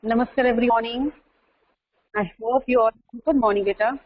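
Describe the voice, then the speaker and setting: A higher-pitched woman teacher with an Indian English accent runs an online class and keeps checking in with her students as she explains. The sound is dull and muffled, as over a video call, with faint noise underneath. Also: steady tone, a little fast. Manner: instructive, repeats okay and listen.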